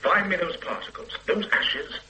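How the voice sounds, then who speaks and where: An actor playing a pedantic, self-satisfied forensic scientist who lectures the police in a radio drama. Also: male voice, high voice, moderate pace, sharp tone, slight background noise, poor audio. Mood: lecturing. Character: pompous middle-aged male forensic scientist. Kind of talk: 1970s radio drama acting.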